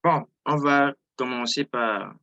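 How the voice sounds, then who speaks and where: Bright-voiced man teaching live online. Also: mid-range voice, slow, creaky, no echo, muffled recording. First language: not French. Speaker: trading instructor. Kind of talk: informal live online lesson, explaining. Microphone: close mic on an online video call.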